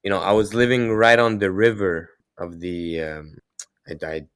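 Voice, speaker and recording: A man from Israel whose first language is Russian, answering interview questions in a halting way, with many restarts. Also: deep voice, steady tone, clean tone, no echo, clean studio sound. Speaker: guest, hockey player.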